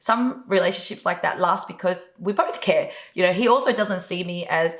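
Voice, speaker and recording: A woman's voice: fairly low pitch, moderate pace, echoey room. A middle-aged woman from Vietnam, the show's host, answering interview questions in a chatty way and laughing now and then. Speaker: host, business coach.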